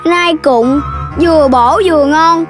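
Cocky chubby animal kid, very high childish voice, Southern Vietnamese accent, cartoon dub, loud music behind. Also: female voice, slow pace. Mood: indignant boasting. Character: boastful, lazy, chubby young animal child.

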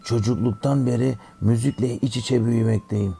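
A man voicing a kindly older music teacher in a cartoon, giving a scripted lesson to children in a gravelly voice. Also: medium pitch, fairly even intonation, moderate pace, warm tone, a little hiss or hum. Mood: kindly, instructive.

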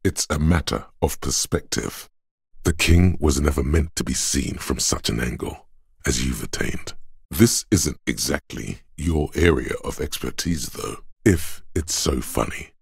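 A man voicing the cold inner voice of logic in a detective's mind for a video game, measured and analytical, with a gravelly, husky delivery. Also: extremely low pitch, animated, dry recording, studio quality, exaggerated voice. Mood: coolly deliberate.

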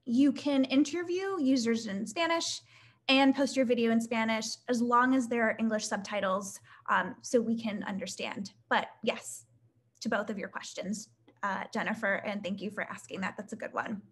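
Young woman hosting a live webinar q&a, kinda high voice, upbeat, says um a lot, laptop mic. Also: moderate pace. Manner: upbeat, many fillers like "um" and "like". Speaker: webinar host reading audience questions. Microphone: laptop webcam mic on a video call.

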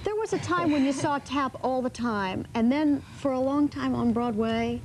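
Bright-voiced chatty TV host woman. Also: sits high, moderate pace, a little hiss or hum, poor audio. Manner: chatty, curious, teasing questions. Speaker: morning show host. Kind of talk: studio interview. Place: TV studio set, seated on a couch.

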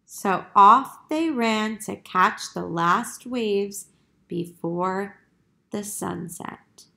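A woman reading a picture book aloud to young children in a deliberate, emphatic teacher's manner. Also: mid-range voice, vocal fry, sharp tone.

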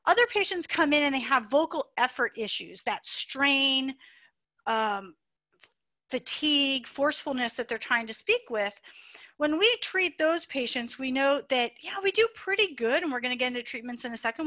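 A woman doctor giving a webinar lecture on the voice, explaining clearly with plain comparisons over a video call. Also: high-pitched, animated, normal speed, sharp tone, no room sound, muffled recording. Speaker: voice doctor presenting.

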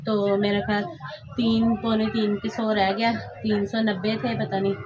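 A woman's voice: mid-range voice, little pitch movement, measured pace, background noise. A woman in her thirties chatting to her viewers in a friendly way, urging them to subscribe, while seated indoors. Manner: friendly, repeats appeals to subscribe. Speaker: vlogger. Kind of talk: casual chat to viewers. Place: room indoors, seated on floor mattress.

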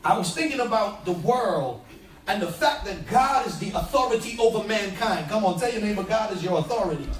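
High-voiced New York preacher, loud and rousing, heard in a roomy hall from a distance. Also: male voice, animated, a little fast. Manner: shouting, preaching with call-and-response. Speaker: pastor. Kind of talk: energetic sermon. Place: church stage, large room. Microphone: handheld mic. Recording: echoey hall from far off.

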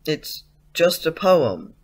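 An actor playing a dreamy, insolent schoolboy with a theatrical, poetic way of talking in an audio play. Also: male voice, on the high side, big pitch swings, full character voice. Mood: innocently insolent. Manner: slow, theatrical recitation. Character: dreamy, insolent schoolboy reciting eerie old poetry. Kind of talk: audio drama acting, reciting poetry.

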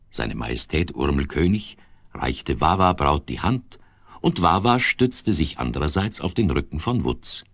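A man's voice: very deep voice, vocal fry, slightly rough, no room sound, heavily acted. A man narrating a children's audio play as a scripted storyteller.

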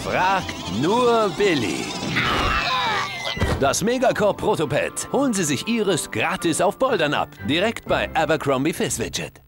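A man's voice: on the high side, huge pitch swings, unhurried, music in the background. A man voicing a gushing, mock-sweet announcer in a parody toy commercial for a video game. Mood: upbeat salesy. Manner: salesy, coaxing. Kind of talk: parody advertisement voice-over.

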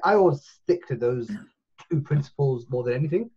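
Man, forties, rambling with restarts, husky voice, muffled call audio. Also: mid-range voice, expressive, a little fast. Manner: rambling, full of restarts and fillers. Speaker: podcast guest. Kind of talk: casual podcast chat. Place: small room at home. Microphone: video call.

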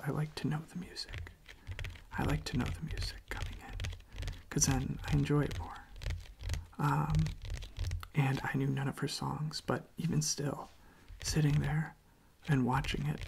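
A soft-spoken ASMR creator chatting casually in a hushed, gentle, halting voice that often drops to a breathy half-whisper, close to the microphone. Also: mid-range voice. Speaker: ASMR video creator, host.

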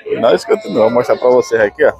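A man's voice: mid-range voice, very animated, fast. A man from Pernambuco, vlogging and chatting with sellers at an outdoor livestock market. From Pernambuco, Brazil. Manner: chatty, friendly, repeats answers back. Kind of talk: casual on-the-spot interviews. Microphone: handheld mic held to guests. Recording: outdoor market with crowd noise. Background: crowd chatter and animals.

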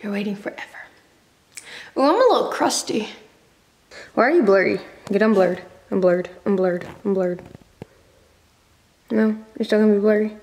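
A woman's voice: medium pitch, moderate pace, long pauses, a little mellow. A young woman casually vlogging at home, chatty and playful, muttering asides to herself into a camera held close. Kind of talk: casual vlog. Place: home kitchen and living room. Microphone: handheld camera held close.

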